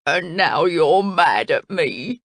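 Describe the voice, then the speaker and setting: A woman's voice: lowish voice, lively intonation, slow, fairly bright tone, dry recording, studio quality, exaggerated voice. A woman growling as a sulky greedy crocodile. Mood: sobbing. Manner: blunt, grumbling, sulky.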